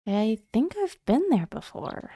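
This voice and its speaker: A woman's voice: a little high, big pitch swings, measured pace, dark tone, a little hiss or hum. A woman voicing a sheltered young princess in a video game, formal, earnest and determined. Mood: wondering.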